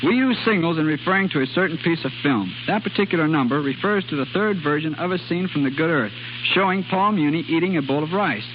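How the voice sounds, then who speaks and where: Male, twenties, American, highish voice, scripted 1930s radio guest, noisy vintage recording. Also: lively intonation, quick pace, highs cut off. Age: 20s. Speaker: guest film editor.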